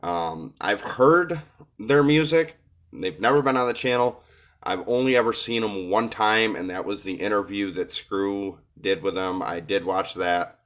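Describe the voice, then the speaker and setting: A low-voiced man coming down with a cold talks through his take on a rap track. He pauses often and fills the gaps with hesitant ums. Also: a bit bright. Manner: hesitant, many filler pauses. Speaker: reaction video host. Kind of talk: casual commentary on a rap song.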